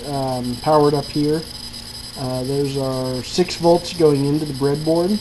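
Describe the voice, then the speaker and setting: A woman giving a casual, improvised walkthrough of a hobby project, hesitant and thinking aloud. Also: sits low. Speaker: hobbyist maker showing an electronics project. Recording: clearly noisy with steady mains hum.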